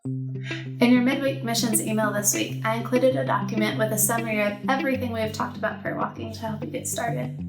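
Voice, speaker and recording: A woman giving a scripted children's missions lesson in a gentle, encouraging way. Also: fairly high pitch, fairly even intonation, brisk, over loud music. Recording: echoey room.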